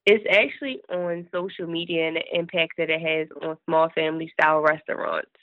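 A woman in her thirties, raspy from a cold, chatting easily as a podcast guest and laughing often. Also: low voice, steady tone, fairly quick, dry recording. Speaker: podcast guest, business consultant. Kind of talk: casual interview talk. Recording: tinny phone-line sound.

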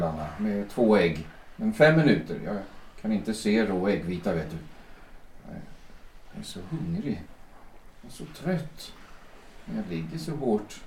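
A man's voice: on the low side, a little mellow. A man playing a weary, pleading old schoolteacher. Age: older. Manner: pleading, apologetic, rambling half-asleep. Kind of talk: audio drama acting. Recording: roomy echo, distant mic.

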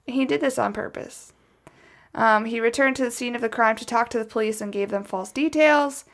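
A woman with a slightly high voice and a noticeable vocal fry. She tells true crime casually from notes as a podcast host. Her delivery is chatty, with wry asides dropped in. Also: moderate pace.

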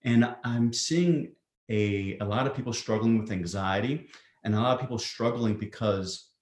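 Man, psychiatrist, low voice, measured, lots of ums, echoey room. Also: darkish tone. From Greece. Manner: measured, many fillers. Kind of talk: explanatory interview answers.

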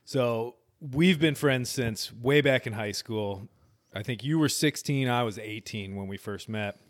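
A man in his forties hosting a relaxed podcast chat, his voice sitting in an ordinary middle register. He talks earnestly and a little rambling, circling back on his sentences and laughing easily. The sound is dry and close, with no room around it. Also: medium pitch, a little slow, no room sound. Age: 40s. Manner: earnest and rambling, with frequent restarts and easy laughs. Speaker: podcast host. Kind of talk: casual conversational interview.

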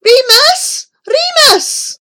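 A woman's voice: very high-pitched, lively intonation, extremely slow, sharp tone, pitched above the performer's own voice, exaggerated voice. A woman voicing a blind old grandfather in a storybook reading, alarmed and pleading, with agitated cries and anxious questions.

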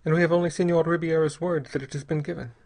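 A man's voice: on the high side, fairly even intonation, darkish tone, voice pushed up. A man voicing a desperate young mother who pleads and chokes on her words. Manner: pleading, halting, choking on words. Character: desperate young mother, Spanish-speaking woman, panicked.

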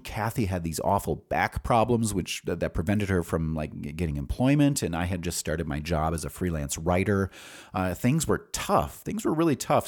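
An American man with a low-pitched voice tells autobiographical stories as a podcast host. His delivery is casual and candid, wandering as he thinks aloud, with loose, profane phrasing. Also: a little mellow.

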